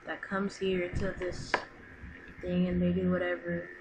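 A woman improvising a dramatic video game roleplay with halting delivery. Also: on the low side, completely flat delivery. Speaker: roleplay video creator voicing the characters. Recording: clearly noisy home recording with clicks.

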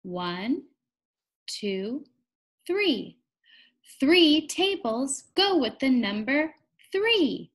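High, animated young woman teaching small children. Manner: encouraging, sing-song, deliberate teacher talk to children. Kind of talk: interactive children's read-aloud lesson.

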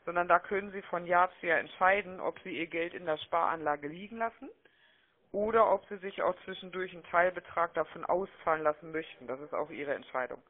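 A woman making a phone sales pitch, chatty and persuasive, often checking for agreement, heard over a telephone line. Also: a little fast. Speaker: telemarketing caller. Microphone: telephone call.

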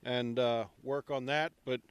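A middle-aged man giving a relaxed walking tour of an outdoor construction site, speaking into a handheld microphone with many ums. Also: medium pitch, unhurried, clean tone, no room sound.

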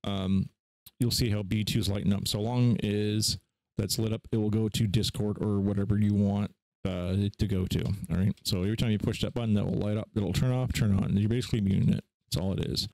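Male tutorial host, lowish gravelly voice, offhand and informal, close dry sound. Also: fairly even intonation, fairly quick, a little mellow, dry recording, poor audio. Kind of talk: casual screen-share software tutorial.

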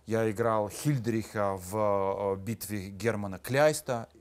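A man's voice: on the low side, takes it slowly. A middle-aged man, an actor and musician, answering interview questions thoughtfully with frequent hesitations. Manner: thoughtful, many hesitation sounds. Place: small studio with red curtain.

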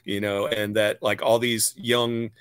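A man's voice: on the low side, level tone, measured pace, a bit bright, smooth, no room sound, clean studio sound. A man in his fifties hosting a casual interview over a video call, thinking aloud in long, rambling sentences. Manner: thoughtful, rambling, many fillers and restarts. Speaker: host, musician. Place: home room, indoors. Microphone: webcam on a video call.

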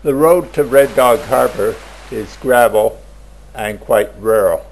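A man narrating a travel video in a plain, measured voice-over. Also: medium pitch, gravelly, darkish tone, slight background noise, rough recording. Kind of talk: slow scripted travel voice-over.

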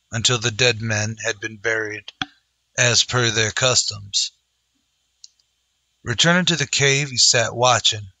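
A man narrates in the dramatic manner of an old-time radio western. His voice is bright and forceful, sitting at a medium pitch. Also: no room sound, poor audio.

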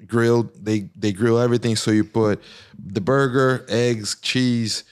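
A man's voice: mid-range voice, steady tone, a little slow, a little mellow, clean tone. A man, a fighter chatting loosely as a podcast guest and filling his talk with "like". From Brazil. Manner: loose and rambling, heavy use of "like". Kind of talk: casual podcast chat.

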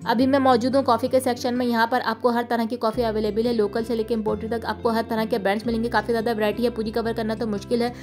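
Pakistani Urdu-accented woman, fairly high voice, level delivery, soft music under the voice-over. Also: racing, hardly any pauses. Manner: steady, listing prices in a level, informative tone. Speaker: shopping vlogger. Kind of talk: vlog voice-over. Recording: voice-over with faint music behind.

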